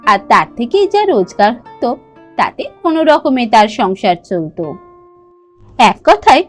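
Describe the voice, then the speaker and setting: A woman's voice: on the high side, very animated, unhurried. Female storyteller, scripted cartoon narration, faint music behind.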